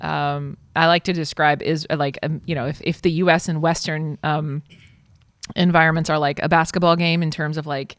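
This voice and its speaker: A woman raised in Israel who speaks with an American accent, a ministry leader telling her story as a podcast guest in a casual, animated way with many fillers. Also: deep voice, normal speed, dry recording, studio quality. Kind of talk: casual interview conversation.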